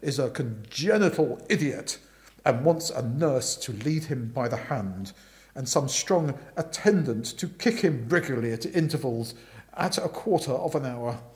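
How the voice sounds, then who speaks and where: A man voicing a bossy, indignant upper-class aunt in a storybook reading, forceful and emphatic. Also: medium pitch, expressive, unhurried, lightly acted. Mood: scornful.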